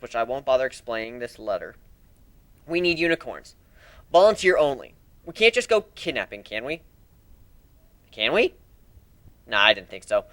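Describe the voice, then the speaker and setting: Brash griffin pirate leader, read by a man: higher register, highly animated, cheeky storybook delivery. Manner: cheeky, conversational, exclamatory. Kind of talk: storybook character reading.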